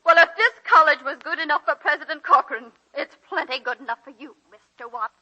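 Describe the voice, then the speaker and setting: An aging schoolmistress with a high, bright voice and a proud, stubborn streak under her warmth. She speaks in old 1940s radio drama manner, with a slightly husky grain on a dull vintage broadcast recording. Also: fairly quick. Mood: indignant. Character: proud, warm-hearted, stubborn aging woman college English teacher.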